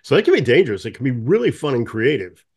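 A man hosting a music podcast, chatting in a relaxed way and telling stories with fillers. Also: mid-range voice, fast talker, breathy edge. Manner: relaxed, anecdotal, with fillers and laughs. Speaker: podcast host. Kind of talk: casual interview chat.

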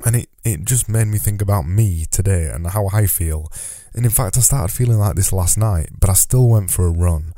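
A man's voice: low-pitched, normal speed, warm tone, no echo, clean studio sound. A man with a cold and a nasal, stuffy voice, hosting a solo podcast in a rambling, self-deprecating but motivational way. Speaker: podcast host.

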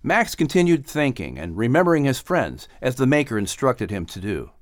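A man narrating a children's audiobook in an expressive, scripted storytelling style. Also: mid-range voice, moderate pace, no echo, studio quality.

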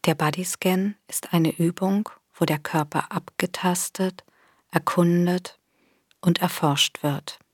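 A woman leads a guided body scan in a soft, breathy voice. Her delivery is very slow, gentle and soothing, with a slightly low pitch. The recording is close and dry. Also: fairly even intonation, no echo. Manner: gentle, soothing, unhurried instruction with long pauses. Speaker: meditation guide. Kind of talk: guided body scan meditation, scripted narration.

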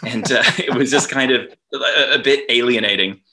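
A man's voice: medium pitch, normal speed, bright, forceful tone, rough recording. A man in his thirties, raised in New Zealand, with an Australian accent, chatting casually as a podcast guest in a rambling way, often breaking off mid-sentence. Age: 30s. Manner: rambling, self-interrupting, laughs between thoughts. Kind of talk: casual chat.

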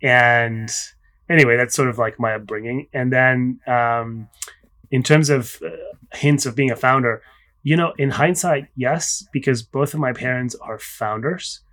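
A man's voice: mid-range voice, fairly even intonation, a bit bright. Raised man, reflective founder. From Spain. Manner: reflective, with many fillers and pauses. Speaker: startup founder guest. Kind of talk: casual interview talk.

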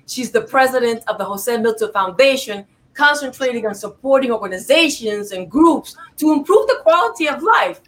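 A woman hosts a talk show and introduces her guest with effusive, repetitive praise. Her voice sits a little high. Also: a bit bright, rough recording.

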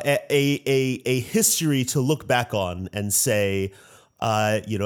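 A man moderating a roundtable discussion, posing questions in a halting, reflective way. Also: on the low side.

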